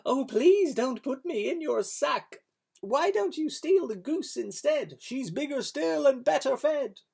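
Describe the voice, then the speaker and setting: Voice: sits high, animated, measured pace, creaky, a little mellow, voice pushed up, full character voice. A reader voicing a frightened duck who begs the thieves to take the goose instead. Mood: pleading. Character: frantic downy duck begging not to be taken.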